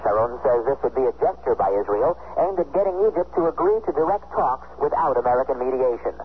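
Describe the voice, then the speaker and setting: Male news correspondent, quick scripted report, phone-like sound with faint noise. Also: medium pitch, lively intonation.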